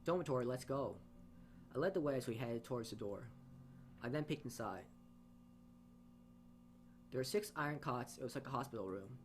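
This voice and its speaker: A man's voice: medium pitch, animated, moderate pace, pauses often, slight background noise. A man reading a game story aloud as he plays, narrating with side comments and often stumbling and repeating phrases. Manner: stumbles over words and rereads phrases. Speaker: let's player reading a visual novel aloud.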